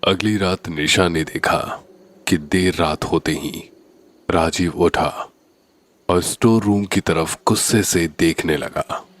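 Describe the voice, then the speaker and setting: Guy with a low voice reading a scary story, really slow and suspenseful. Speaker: horror story narrator. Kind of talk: scripted horror story narration in Hindi.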